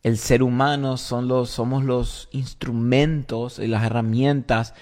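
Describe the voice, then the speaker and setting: Male podcast co-host and pastor, medium pitch, South American from Argentina and Paraguay, relaxed phrasing in casual faith talk. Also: unhurried. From Argentina, raised in Paraguay.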